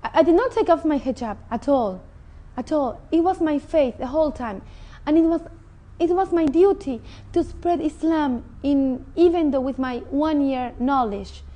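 A woman's voice: high voice, moderate pace, mellow. A middle-aged woman from Mexico with a Mexican Spanish accent, an interview guest speaking earnestly and with feeling about her own story, recorded on a lapel mic in a TV studio. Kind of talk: personal testimony. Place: indoor TV studio set.

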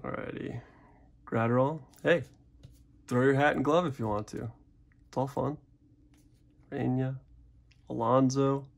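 A man's voice: mid-range voice, steady tone, a little slow, gravelly, long pauses. A young man hosting a casual card-opening video, chatting in a rambling, self-deprecating way at a desk. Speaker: card-opening channel host. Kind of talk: casual pack-opening commentary. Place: indoors at a desk.

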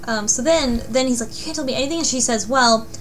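A woman in a casual chat, recapping a story and explaining details in a halting way. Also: fairly high pitch, background noise. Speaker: podcast panelist.

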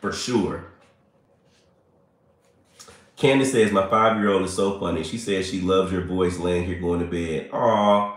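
A man, chatting playfully with viewers on a livestream, a little hoarse and congested. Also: sits low, moderate pace. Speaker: livestream host. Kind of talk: casual livestream chat with viewers. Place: small bright room indoors. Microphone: phone at arm's length. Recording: very echoey room.

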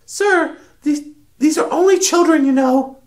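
An actress playing a prim, protective schoolteacher in a comic radio drama, proper and fussy in manner. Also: female voice, high voice, measured pace, a little mellow. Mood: indignant.

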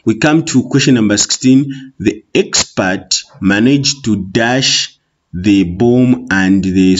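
A man's voice: fairly low pitch, husky. A Zambian man with a Tonga-influenced English accent, a Bemba speaker, talking through an exam paper in an online lesson, explaining patiently and repeating "okay" often. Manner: explains slowly, repeats with many okays. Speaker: teacher. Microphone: voice-over on screen recording. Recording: muffled lo-fi recording.

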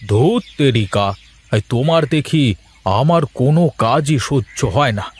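A man's voice: medium pitch, expressive, slow, slightly rough. A man voicing a lazy, grumbling, slyly teasing village husband in a cartoon folk tale, drawling and full of interjections. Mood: irritated.

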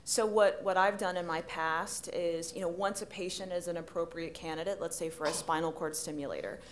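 A woman's voice: fairly low pitch, steady tone, fairly quick. A woman panelist explaining practical steps in a conference discussion at a table microphone. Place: conference stage.

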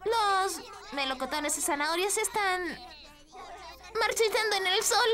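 A woman voicing a sweet, helpful little girl in a cartoon, gentle and tactful. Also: very high voice, unhurried, music in the background. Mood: tactful, hesitant. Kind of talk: animated cartoon dub acting.

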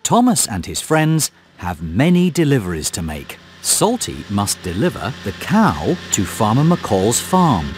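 A man narrating a children's game as a scripted story, giving clear, deliberate instructions to young listeners. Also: fairly low pitch, wildly swooping pitch. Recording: music under the voice.

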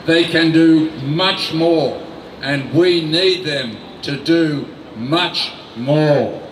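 Slow, emphatic older man outdoors. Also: fairly high pitch, slight background noise. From Australia. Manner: slow, deliberate, emphatic reading from notes. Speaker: rally speaker. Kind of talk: reading a prepared speech. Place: outdoor city square. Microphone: mic on a stand. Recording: echoey outdoor sound from a distant camera.

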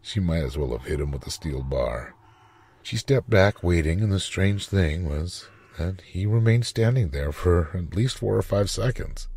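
A man narrating a scripted story in a measured, dramatic manner. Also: sits low, animated, darkish tone, husky.

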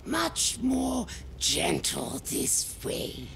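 A weary, ancient alien native from a video game, resigned yet gentle. The speech crawls along in cryptic broken English, swinging widely in pitch. It creaks heavily and thins into breath, with music underneath. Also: sing-song, drawn-out words, slight background noise, heavily acted. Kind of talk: video game cutscene acting.

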